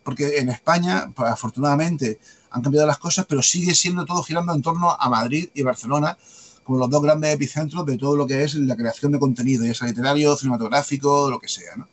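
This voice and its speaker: A man with a light Andalusian accent, a guest talking at length in a rapid, discursive way and tagging on questions. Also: fairly high pitch. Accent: light Andalusian Spanish. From Andalusia, Spain. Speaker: guest, publisher and author. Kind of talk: conversational interview answers.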